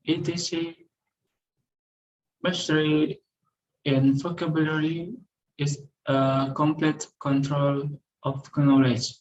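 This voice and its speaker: Slow, halting young man. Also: on the high side, lo-fi sound. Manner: careful, halting reading with self-corrections. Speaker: student. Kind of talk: recorded class presentation.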